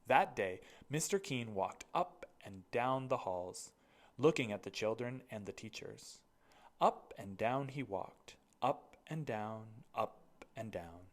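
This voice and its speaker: Slow, expressive children's storybook reader. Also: medium pitch. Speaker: read-aloud storyteller.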